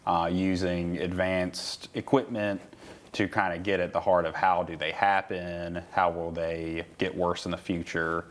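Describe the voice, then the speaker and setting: A man's voice: sits low, level tone, unhurried. A man hosting a university interview show, asking upbeat, enthusiastic questions. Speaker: host.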